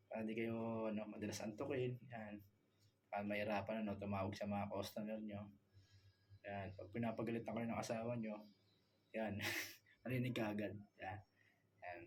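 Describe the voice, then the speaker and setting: A man with a Filipino accent giving a casual product demo as a vlogger, halting and repeating himself as he searches for words. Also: lowish voice, a little mellow, slight background noise.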